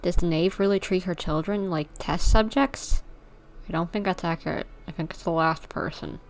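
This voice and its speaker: A woman's voice: on the low side, moderate pace, rough recording. A woman streamer giving casual live commentary on a game, musing and speculating aloud as she plays.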